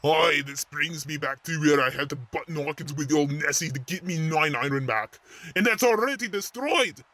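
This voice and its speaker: A man's voice: a little high, animated, moderate pace, pushed and bright. A man voicing a blustering, indignant, hot-tempered Celtic golf warrior in a cartoon fight show. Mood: indignant, defiant. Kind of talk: animated fight-show character acting.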